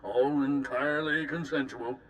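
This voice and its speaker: A scornful, self-righteous senator from a game, played by a man. The voice is sharp and forceful at a middle pitch, imperious and clipped, over a faint low rumble. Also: steady tone, measured pace, lightly acted, low-quality recording. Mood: defensive. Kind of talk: video game cutscene acting.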